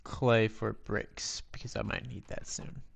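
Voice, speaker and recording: A man with a raspy voice giving casual live gameplay commentary, rambling and thinking aloud in a self-deprecating, playful way. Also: on the low side, little pitch movement, unhurried. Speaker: game streamer.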